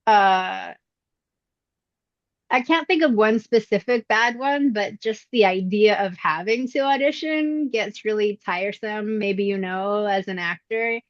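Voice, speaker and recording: A middle-aged woman whose voice still sounds youthful answers interview questions in a relaxed, reflective way, often pausing on fillers. The recording is dry and close, with a slightly dull, lo-fi tone. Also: mid-range voice, no echo. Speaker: voice actress, interview guest. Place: indoors at her mother's home.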